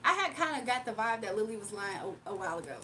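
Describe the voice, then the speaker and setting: A woman's voice: on the high side, sing-song, rapid-fire, bright, forceful tone, slight background noise. A young woman streaming live, chatting casually with her viewers, laughing often and reading out chat messages.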